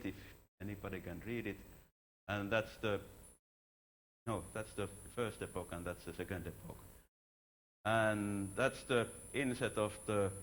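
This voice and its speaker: A man presenting research at a scientific conference in a halting, informal, self-deprecating way. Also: fairly low pitch, a bit bright. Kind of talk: contributed scientific conference talk. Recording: clear background noise.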